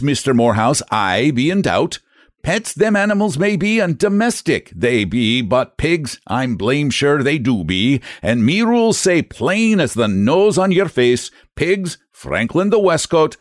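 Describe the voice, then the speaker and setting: A man's voice: on the high side, no room sound, clean studio sound, pitched above the performer's own voice. A man doing a stubborn Irish express agent. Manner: rule-bound, mocking, stubborn, thick dialect.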